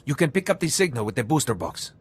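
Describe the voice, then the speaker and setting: A raspy male voice at medium pitch. He is a level-headed guerrilla lieutenant in a video game. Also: sing-song, fast. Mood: matter-of-fact.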